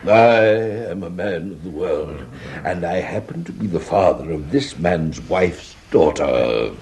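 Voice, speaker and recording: A middle-aged English father-in-law in a stage farce. His voice is on the low side but animated. He blusters in an avuncular way, like a man of the world. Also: unhurried. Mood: blustering. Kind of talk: British stage farce acting.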